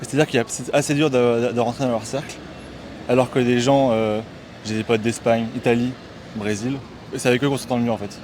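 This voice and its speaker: A man's voice: mid-range voice, flat delivery, a little mellow, a little hiss or hum. A man from France, now living abroad, explaining his experience to a street interviewer. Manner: quick, explanatory. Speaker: passer-by. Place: city street outdoors.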